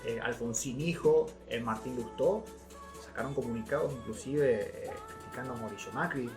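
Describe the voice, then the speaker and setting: Argentine man over clear background music. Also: mid-range voice, normal speed. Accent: Argentine Spanish. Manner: colloquial, opinionated. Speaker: co-host of a political podcast. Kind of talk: casual political commentary. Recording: music playing behind.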